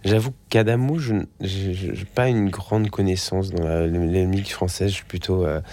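A man's voice: low-pitched, steady tone, moderate pace, dark tone. A man speaking on a radio call-in show, stammering and repeating his words. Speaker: radio guest.

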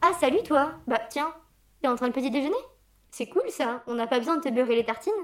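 A woman's voice: high voice, fast talker, heavy background noise, close to an everyday voice. A woman playing a fed-up, sweary Mother Christmas turned hacker, sardonic and slangy, in a comic audio drama. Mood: offhand, wry. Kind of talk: comic audio fiction acting.

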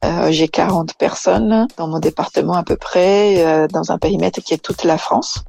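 A woman's voice: fairly low pitch, steady tone, normal speed, gravelly, dark tone, a little hiss or hum. A woman from Brazil, an engineering manager answering interview questions hesitantly with many fillers and restarts.

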